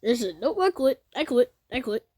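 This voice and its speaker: Woman reacting to a video, high voice, talks blunt n slangy, dry room sound. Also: expressive, moderate pace, darkish tone, dry recording. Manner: colloquial, blunt. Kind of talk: casual reaction commentary.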